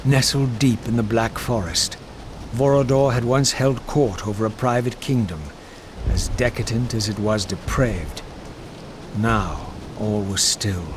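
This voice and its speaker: An actor playing a grim, haunted undead wraith who narrates a video game in a solemn, formal, measured style. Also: male voice, fairly low pitch, background noise, close to an everyday voice. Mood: somber, wondering. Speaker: narrator and protagonist. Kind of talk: scripted dramatic game narration.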